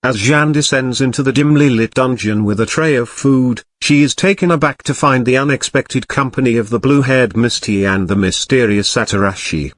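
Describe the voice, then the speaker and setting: A man's voice: medium pitch, moderate pace, few pauses. A man narrating an audio drama in a scripted, present-tense storytelling style.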